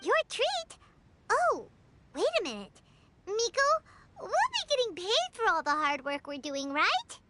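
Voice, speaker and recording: A woman voicing a tiny, childlike fairy companion in an anime video game, squeaky, excitable and blunt. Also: a little slow, heavy background noise, full character voice. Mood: surprised, suspicious. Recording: clear music behind the voice.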